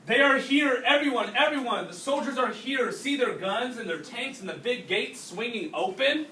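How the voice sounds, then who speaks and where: Male teacher, classroom read-aloud, bright forceful voice, instructive asides, distant echoey recording. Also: extremely high pitch, expressive, moderate pace. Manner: instructive, checking understanding with questions. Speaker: teacher reading a storybook to a class. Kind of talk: read-aloud with explanatory asides and questions to pupils. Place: indoors, classroom. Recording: roomy, distant mic, faint background noise.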